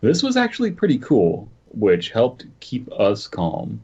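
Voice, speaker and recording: A man's voice: mid-range voice, huge pitch swings, a little slow, dark tone, slightly rough. A man co-hosting a horror podcast, talking hesitantly and searching for words as he chats and reads. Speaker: podcast co-host. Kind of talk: casual chat and story reading. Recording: dull muffled audio.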